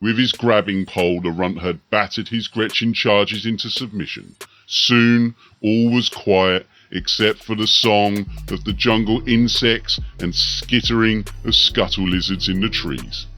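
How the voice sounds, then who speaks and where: A male audiobook narrator reads a story dramatically over music. His delivery is slow and deliberate, with a forceful, bright edge. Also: on the low side, steady tone.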